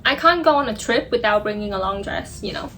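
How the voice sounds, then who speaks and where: A Vietnamese woman in her twenties, chatting excitedly and poking fun at herself while packing at home, filmed on a phone. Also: on the high side, brisk, faint music behind. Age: 20s. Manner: chatty, excitable and self-mocking. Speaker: vlogger. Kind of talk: casual vlog chat.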